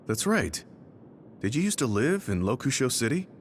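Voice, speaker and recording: A man's voice: mid-range voice, very animated, moderate pace, a little hiss or hum, natural voice. A man playing a serious, considerate soldier comrade in a video game cutscene. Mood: concerned.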